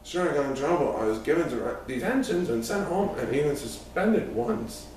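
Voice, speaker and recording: A man's voice: on the high side, very animated, normal speed, darkish tone. A man reading a first-person youth story aloud as scripted narration, now and then stumbling over a word. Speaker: audiobook reader. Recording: very echoey room, distant mic, faint noise.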